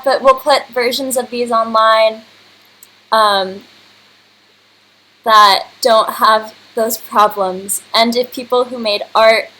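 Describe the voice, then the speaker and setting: A woman's voice: on the high side, sharp tone. A woman in her early twenties hosting casually and effusively on a livestream. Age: early 20s. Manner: effusive, informal. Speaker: host and curator. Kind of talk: casual unscripted livestream address. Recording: clearly noisy home computer livestream.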